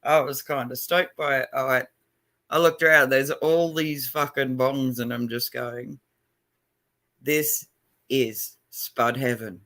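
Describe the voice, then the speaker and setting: A man's voice: mid-range voice, steady tone, slow, bright, forceful tone. A middle-aged man chatting casually on a livestream, loose and profane, with lots of fillers. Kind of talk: casual chat.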